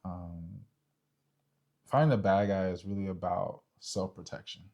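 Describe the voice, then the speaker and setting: A man's voice: deep voice, fairly even intonation, measured pace, gravelly, a little mellow. A man co-hosting a casual discussion about relationships, talking in an informal, slangy way peppered with filler phrases like "you know". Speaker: podcast co-host.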